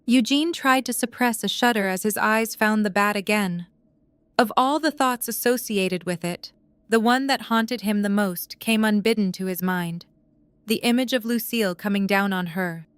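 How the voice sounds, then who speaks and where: A woman's voice: mid-range voice, normal speed, smooth, no room sound. A woman narrator reading scripted dramatic prose for an audio drama.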